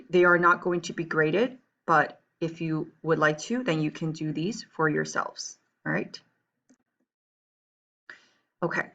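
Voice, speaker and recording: A woman from Taiwan teaches a recorded online class with a low, gravelly voice. She talks patiently, keeps reassuring her students and checks in with them often. The audio is dull and lo-fi. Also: fairly bright tone.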